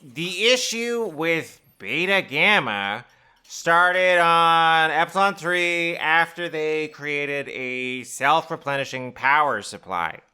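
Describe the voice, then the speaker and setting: Nervous low-voiced stammering assistant. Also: female voice, expressive, slow, pushed and bright, heavily acted. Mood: nervous, deferential. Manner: stammering, deferential. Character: nervous, rule-bound assistant to a muddled boss.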